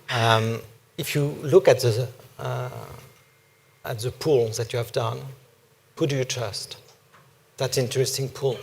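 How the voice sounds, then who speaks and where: A man from France with a strong French accent, speaking deliberately as a panelist in a forum discussion. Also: medium pitch, fairly even intonation, breathy edge. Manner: deliberate, emphatic, speaks slowly.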